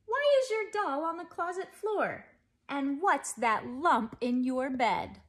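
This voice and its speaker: Woman reading as an exasperated scolding storybook mother, high-pitched. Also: lively intonation, slow pace, fairly bright tone, heavily acted. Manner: emphatic, reproachful.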